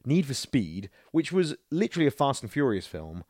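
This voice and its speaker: A man's voice: medium pitch, very animated, normal speed, darkish tone, no echo, studio quality. A man co-hosting a casual podcast, giving opinions in a rambling way with incredulous rhetorical questions. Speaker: podcast co-host.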